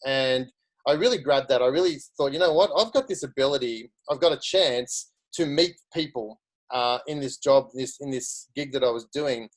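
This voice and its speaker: A man's voice: mid-range voice, moderate pace, low-quality recording. Chatty Australian man telling his story. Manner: chatty, restarts often. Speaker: guest speaker. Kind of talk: casual career story.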